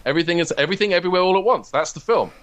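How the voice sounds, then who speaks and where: A man's voice: sits high, very fast, fairly bright tone. A man in a casual interview, talking as a comedy writer in an enthusiastic, discursive, geeky way.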